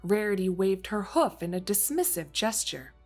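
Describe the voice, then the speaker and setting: A woman's voice: medium pitch, sing-song, moderate pace, faint music behind. A woman narrating a scripted story as its narrator, reading in prose between characters' dialogue.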